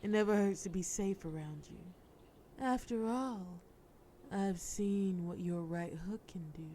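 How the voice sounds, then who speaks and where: A woman's voice: mid-range voice, slow, warm tone, a little hiss or hum, lightly acted. A woman playing a cunning, flirtatious mafia boss in a scripted audio roleplay, sultry, persuasive and teasing, with cool threat. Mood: smooth, persuasive.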